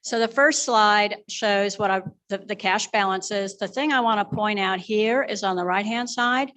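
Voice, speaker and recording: A woman's voice: medium pitch, moderate pace, fairly bright tone. Woman presenting a finance report. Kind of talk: prepared financial presentation to members' meeting. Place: live members' meeting.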